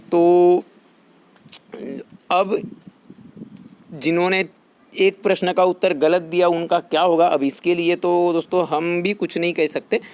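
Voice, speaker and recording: Higher-pitched man explaining to viewers. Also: darkish tone. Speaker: YouTube channel host. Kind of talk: informal explainer addressed to viewers.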